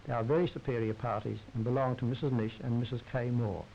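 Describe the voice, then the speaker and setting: A man's voice: medium pitch, steady tone, fast, gravelly. A man narrating a 1930s society newsreel in a formal, wry and droll scripted manner. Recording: hissy, muffled 1930s film soundtrack.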